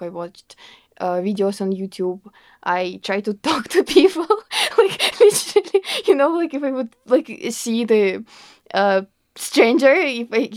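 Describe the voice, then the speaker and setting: Young woman, Russian accent, mid pitch, gravelly edge, earnest interviewee, dry room. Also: a little mellow, no echo. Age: young adult. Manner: earnest, fillers like "like" and "you know", laughs often. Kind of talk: casual conversational interview.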